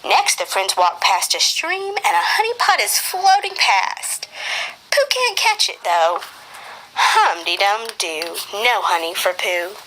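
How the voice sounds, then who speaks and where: A woman narrating a children's storybook for a puppet show in an expressive, sing-song read-aloud manner. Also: medium pitch, takes it slowly, rough recording.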